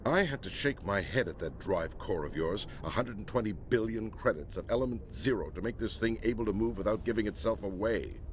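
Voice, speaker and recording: An actor playing a gruff, disapproving middle-aged admiral in a video game, blunt and stern in the way he talks. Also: fairly low pitch, lively intonation, fairly quick, few pauses, a little hiss or hum, lightly acted. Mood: critical, exasperated. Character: gruff, disapproving senior space navy admiral inspecting a warship.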